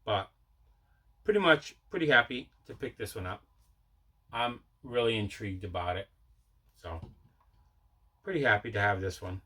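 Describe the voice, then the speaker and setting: A man's voice: lowish voice, expressive, moderate pace, pauses often, pushed and bright, breathy edge. A man hosting a casual mail-day video about his movie collection, talking in a repetitive, enthusiastic way.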